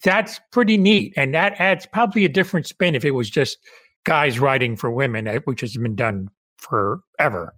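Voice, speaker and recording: A man's voice: medium pitch, animated, moderate pace, fairly bright tone, no echo, clean studio sound. A man hosting a podcast interview, asking friendly, conversational questions with some restarts. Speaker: podcast host.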